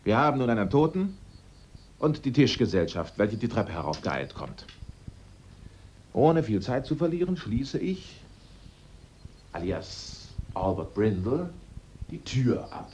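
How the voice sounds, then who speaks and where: A man acting a sharp-witted amateur sleuth in a German radio drama, theatrical and assertive as he sets out his case step by step. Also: mid-range voice, big pitch swings, unhurried, long pauses, slight background noise. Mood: emphatic, insistent.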